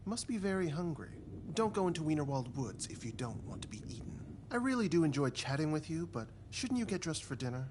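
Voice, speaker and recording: A man's voice: a little high, very animated, fairly quick, few pauses. A man voicing a friendly, gossipy servant in a cartoon video game, chatty, confiding and folksy. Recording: clear ambient noise behind.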